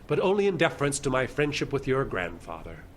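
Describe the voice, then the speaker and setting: An actor playing a fussy, old-fashioned older gentleman landlord in a comic radio drama, with genteel, theatrical phrasing. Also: mid-range voice, huge pitch swings, fairly quick, a little hiss or hum. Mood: wry, reproachful.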